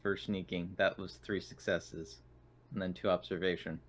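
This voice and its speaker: A man's voice: sits low, level tone, normal speed. A man chatting casually as a player in a tabletop roleplaying game, talking through his rolls.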